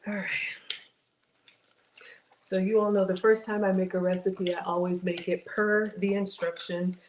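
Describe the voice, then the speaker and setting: A middle-aged woman with a slightly raspy voice, chatting casually to viewers while she cooks in her home kitchen. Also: mid-range voice, little pitch movement, normal speed, darkish tone. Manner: chatty, talks to viewers as y'all. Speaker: cooking livestream host. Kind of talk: casual live cooking chat with viewers. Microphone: fixed camera at counter. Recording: echoey kitchen with clear background noise.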